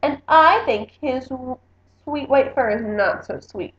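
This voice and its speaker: A woman's voice: high voice, a little slow, a bit bright, reverberant, highs cut off. A woman voicing a disdainful young girl mouse cousin in a storybook, critical and sneering. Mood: disgusted.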